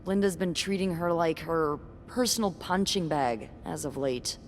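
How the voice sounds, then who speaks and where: An actress playing a chatty, gossipy hotel coworker who talks in an animated way. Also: female voice, low voice, moderate pace, a little hiss or hum, lightly acted.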